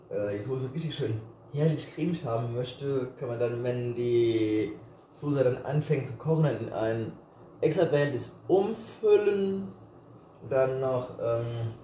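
A man with a regional German dialect chats his way through a home cooking demo, easygoing and a little rambling. His voice is mellow and sits away from the camera in a roomy kitchen. Faint background noise hums underneath. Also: medium pitch, measured pace, far from the mic. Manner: relaxed, rambling, with hesitations and chuckles. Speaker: home cook vlogger. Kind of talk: casual cooking tutorial. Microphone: camera set on the counter, speaker off to the side.

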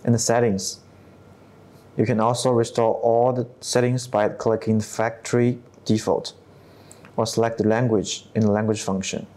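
Man presenting a scripted software tutorial. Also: fairly low pitch, little pitch movement, normal speed. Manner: non-native English phrasing, step-by-step instructional delivery.

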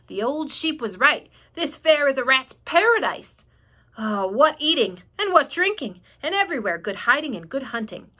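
A greedy, selfish rat who sneers and gloats, loud and mocking as a woman puts him on. The voice is bright and forceful. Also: a little high, animated, normal speed, hardly any pauses. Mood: gleeful.

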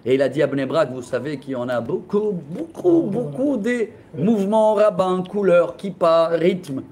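A man in his forties, a rabbi teaching a lively Torah class in a classroom, telling stories with laughter and gestures into a close webcam. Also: sits high, normal speed, a little hiss or hum. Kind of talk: lively storytelling lesson. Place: classroom with bookshelves, indoors. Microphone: laptop webcam at close range.

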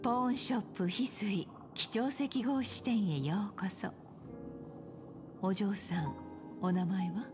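A woman's voice: mid-range voice, unhurried, lightly acted. A woman playing an elegant, calculating pawnshop keeper in a video game, speaking politely with cool, teasing composure. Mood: inviting, probing. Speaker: game voice actor. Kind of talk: Japanese game story dialogue. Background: game background music.

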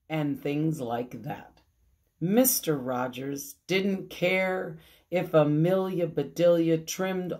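Slow, low-voiced woman telling children's stories. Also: darkish tone. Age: middle-aged. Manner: theatrical, drawn-out emphasis with dramatic pauses. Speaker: pastor reading children's stories. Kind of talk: expressive bedtime storytelling for children. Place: small room at home, indoors. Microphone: phone at arm's length.

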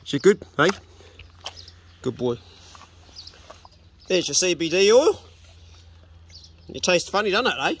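A man's voice: high voice, unhurried, pauses often, husky. A middle-aged man with a New Zealand accent, casually explaining his homestead jobs and coaxing his dog outdoors, recorded on a body-worn action camera. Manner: relaxed, practical, coaxing the dog with "good boy" and "mate". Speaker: homesteading vlogger. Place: outdoors on a rural homestead and in bush.

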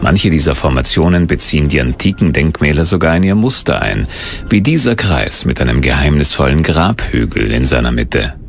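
A man reading scripted documentary narration in a measured, mysterious storytelling tone. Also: rumbling low, expressive, vocal fry, faint music behind.

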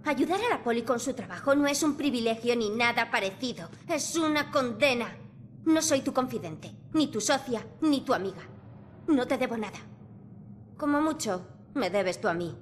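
Woman voicing young female game medium, Castilian Spanish accent, higher pitch, sarcastic, defiant, guarded, faint background noise. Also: expressive, moderate pace, close to an everyday voice. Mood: resentful. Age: young adult. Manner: curt, snarky. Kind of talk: video game cutscene dubbing.

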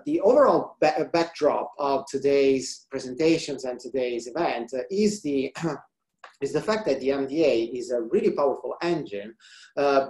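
A man from Italy with an Italian accent, a research biologist giving an online slide presentation in a hesitant way with many pauses. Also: medium pitch, darkish tone. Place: indoor room, video call. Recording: roomy, echoing video call.

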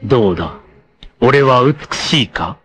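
Vain, theatrical anime warlord, slow-spoken. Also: male voice, mid-range voice, expressive, fairly bright tone. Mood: smug, self-adoring. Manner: haughty, narcissistic, theatrical, savoring words. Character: vain, scheming, effete male warlord villain. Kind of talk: theatrical anime villain acting.

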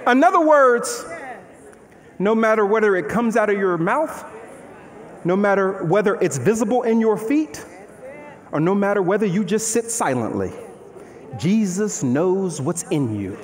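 A man in his thirties preaching an animated, conversational sermon on a large church stage, firing off rhetorical questions while he moves about. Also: sits high, measured pace, long pauses. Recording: long hall reverb.

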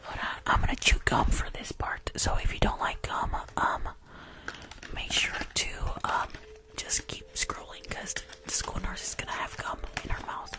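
A young woman chatting in a breathy ASMR whisper, very close to the microphone in a small room. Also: normal speed. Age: young adult. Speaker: ASMR creator. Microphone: close foam-covered microphone on desk.

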